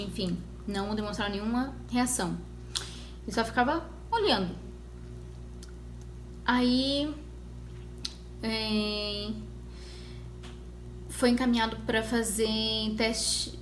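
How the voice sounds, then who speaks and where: A young woman telling her story as a mother in a casual vlog, talking in a conversational way with many pauses and restarts. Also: a little high, fairly bright tone. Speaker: mother sharing her experience. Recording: echoey room with faint noise.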